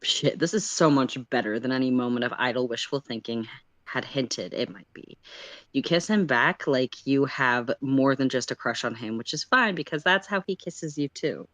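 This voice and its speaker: Expressive woman, mid-low voice, dry close sound. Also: moderate pace, dry recording. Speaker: co-host and story reader. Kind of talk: fan fiction narration and casual livestream chat.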